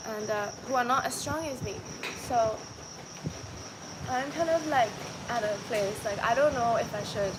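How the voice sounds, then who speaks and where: A young woman with a Trinidadian accent, chatting casually to her live viewers with lots of fillers and restarts. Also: a little high. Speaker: vlogger. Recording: loud background noise.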